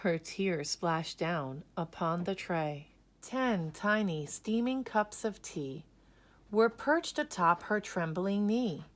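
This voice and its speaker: Slow, lower-pitched woman reading a storybook. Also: expressive. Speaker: picture book reader. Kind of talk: scripted children's story read-aloud.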